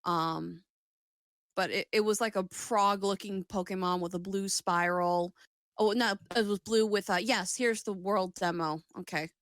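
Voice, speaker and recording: A woman chats casually about games as a show co-host, at an ordinary middle pitch. She rambles, swears freely and cuts into her own sentences, and the sound is close and dry with little room echo. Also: steady tone, a little slow. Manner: rambling, self-interrupting, sweary. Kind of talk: casual gaming chat.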